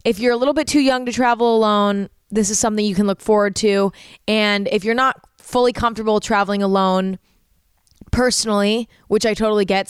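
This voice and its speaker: A woman's voice: medium pitch, clean tone, no echo, clean studio sound. A young woman, hosting a podcast in rambling casual chat full of "like" and "um". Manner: chatty, rambling, uses "like" and "um" a lot. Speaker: podcast host.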